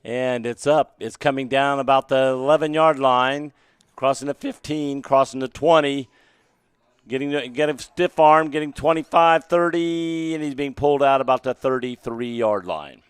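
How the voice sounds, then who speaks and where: Hoarse midwest guy calling a college football game, yells on big plays, dry close sound. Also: mid-range voice, moderate pace, no room sound. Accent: American Midwest. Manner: gets loud and excited on big plays, joking in lulls. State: losing his voice, hoarse. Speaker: play-by-play announcer. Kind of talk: amateur live football commentary.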